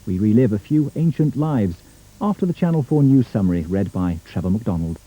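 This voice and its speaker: A man's voice: fairly low pitch, animated, brisk. A British man working as a television continuity announcer, reading scripted links and forecasts. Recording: slightly dull 1980s TV recording.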